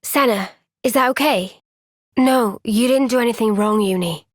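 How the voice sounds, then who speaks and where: A woman voicing an earnest, kind young woman soldier in a video game, speaking gently and sincerely in a thoughtful, resolute way. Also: a little high, moderate pace, no echo, clean studio sound, close to an everyday voice. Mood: calm, gentle. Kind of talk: video game cutscene voice acting.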